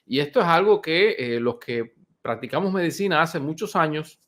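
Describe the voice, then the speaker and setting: A man in his fifties, a doctor, giving an informal health talk to viewers, unhurried and conversational with many pauses and restarts. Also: a little high, expressive, fairly bright tone. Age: 50s.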